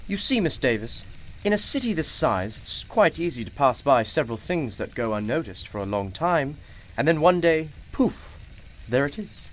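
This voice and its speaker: A man's voice: fairly high pitch, sing-song, moderate pace, a little hiss or hum, poor audio. A man playing a genial, mysterious old-fashioned shopkeeper in a radio play, with formal, courtly and unflappable phrasing. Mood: mysterious, persuasive.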